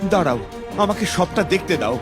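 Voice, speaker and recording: A man's voice: high voice, lively intonation, a little fast, over loud music. A man voicing a brave, resolute young hero in a fairy-tale cartoon, with a declamatory, determined delivery.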